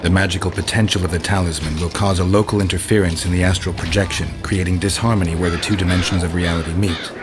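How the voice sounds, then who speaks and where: An actor playing a stoic, dry, deadpan monster-hunting warrior in a video game. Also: male voice, deep voice, fast talker, few pauses, noisy background, close to an everyday voice. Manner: deadpan, understated. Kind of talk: video game character acting. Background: music.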